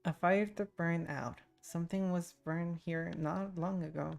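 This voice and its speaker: A woman game streamer comments casually as she plays. Her voice is lowish with a noticeable creaky fry, laid-back in delivery, over faint game music. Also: steady tone, a little slow. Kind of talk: casual playthrough commentary, reading game text aloud. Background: soft game music.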